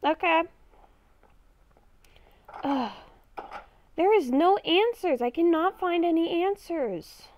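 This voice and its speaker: A woman's voice: extremely high pitch, animated, slow pace, pauses often, a little mellow, a little hiss or hum. A woman improvising in-character narration while playing a game, thinking aloud and repeating her phrases. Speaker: gamer playing a roleplay character. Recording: dull, lo-fi microphone.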